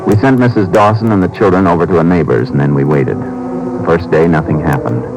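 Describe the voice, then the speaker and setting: An actor playing a calm, steady Texas Ranger with a terse, measured, authoritative way of talking in an old-time radio drama. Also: male voice, low voice, music in the background. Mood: matter-of-fact. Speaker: narrator and lead actor. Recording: noisy vintage radio drama recording.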